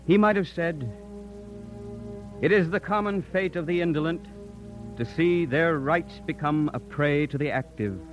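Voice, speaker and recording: A man's voice: on the high side, highs cut off, close to an everyday voice. Guy playing a righteous young man, stern and declamatory, vintage radio drama with faint music. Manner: earnest, emphatic, declamatory. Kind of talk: 1950s radio drama acting.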